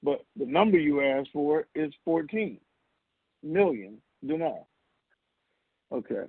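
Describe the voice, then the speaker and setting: A man's voice: a little high, very animated, slow, breathy edge, no room sound. A man co-hosting a casual call-in, explaining answers in a blunt, conversational way with emphatic repetition. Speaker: co-host answering listener questions. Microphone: telephone. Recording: narrow, lo-fi phone line.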